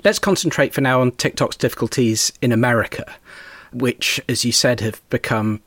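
A man's voice: medium pitch, moderate pace, fairly bright tone, no room sound. A man hosting a current affairs podcast, reading scripted introductions and putting questions to a guest. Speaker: podcast host. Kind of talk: scripted presenting and interviewing.

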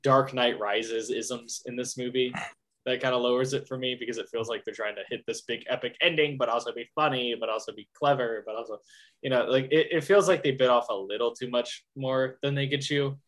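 A man's voice: mid-range voice, fairly even intonation, fairly quick, fairly bright tone, low-quality recording. A man with a mid-range voice gives his opinions on a film in a loose, easygoing way. He circles back on his own points and thinks aloud as he talks. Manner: rambling, lots of fillers and restarts, thinks aloud. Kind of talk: casual film review chat.

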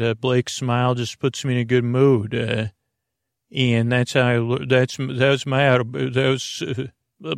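A man hosting a bedtime podcast with a meandering, halting, goofy and friendly monologue in a gravelly voice. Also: mid-range voice, no echo, studio quality. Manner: meandering, halting, trails off mid-word. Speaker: podcast host. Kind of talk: rambling, unscripted bedtime monologue.

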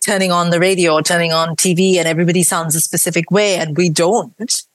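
A woman from India talks in a mixed accent, part Indian from Mumbai, Bangalore and Kerala and part British, with a slightly low voice. She speaks earnestly and with emphasis in a casual podcast chat. The sound is close and dry. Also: fairly even intonation, fast, dry recording. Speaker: podcaster and guest.

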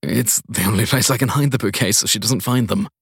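A man's voice: lowish voice, a little fast, slightly rough, no room sound, clean studio sound. A man voicing a weary, guarded courier in a crime audiobook, answering briefly and hesitantly to placate authority. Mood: nervous, placating. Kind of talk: audiobook crime story character voice.

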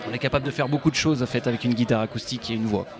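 A man's voice: medium pitch, steady tone, rapid-fire. Hesitant male musician talking in a noisy bar. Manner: hesitant, many fillers and restarts. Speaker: guest musician. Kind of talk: casual interview. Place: folk club bar. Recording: live bar recording with crowd chatter. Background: crowd chatter.

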